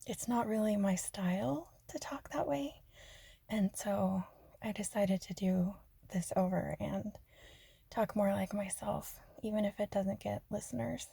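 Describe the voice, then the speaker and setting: A woman from Arizona chatting softly and rambling in a half-whispered ASMR style, joking about herself. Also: mid-range voice, a little slow, creaky, breathy edge, no room sound. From Arizona, USA. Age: adult. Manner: soft, half-whispered, self-deprecating, giggly. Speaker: ASMR creator. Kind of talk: soft-spoken rambling ASMR chat.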